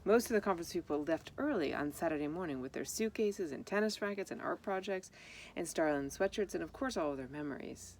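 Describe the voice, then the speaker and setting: A woman's voice: lowish voice, lively intonation, fairly quick, darkish tone, a little hiss or hum. A woman reading a children's picture book aloud, explaining things simply and speaking directly to young listeners. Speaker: storybook reader.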